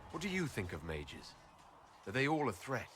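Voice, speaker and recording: A man's voice: medium pitch, sing-song, moderate pace, faint music behind. An actor playing a courteous, inquisitive male adventurer who leads an order in a fantasy video game. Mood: curious. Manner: inquisitive, gently teasing.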